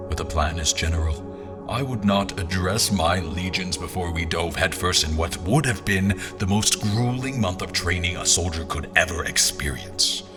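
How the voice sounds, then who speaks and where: An actor plays a reflective, grave general telling his own story. His voice is low and his phrasing measured and literary, as in a scripted audio drama. Music plays clearly behind him. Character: grave, reflective male army general recounting his journey. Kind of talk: scripted first-person dramatic narration.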